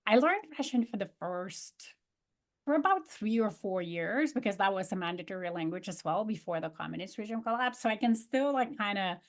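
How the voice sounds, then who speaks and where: A woman's voice: medium pitch, lively intonation, fairly quick. Upbeat woman, native Polish speaker. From Poland. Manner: upbeat, enthusiastic questioning. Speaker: interviewer, host. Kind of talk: casual interview chat.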